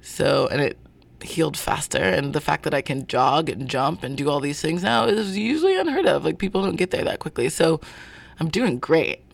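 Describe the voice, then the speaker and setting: A man being interviewed as a guest about his work, speaking in a thoughtful, searching way with many pauses and fillers. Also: a little high, lively intonation, gravelly, dry recording. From born in North Carolina, family from Zimbabwe. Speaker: guest, performer of a show. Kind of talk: reflective interview talk.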